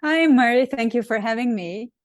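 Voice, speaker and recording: A woman's voice: fairly high pitch, normal speed, no room sound, studio quality. A woman in her forties from Hungary with a Hungarian accent, telling her story as an interview guest in a thoughtful way with many ums and restarts. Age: 40s. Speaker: guest, actress and acting coach. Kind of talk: casual interview chat.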